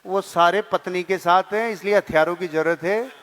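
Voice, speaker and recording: A man voicing a matter-of-fact husband explaining briskly and dryly in a comic stage anecdote. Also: high-pitched, fairly bright tone. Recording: long hall reverb.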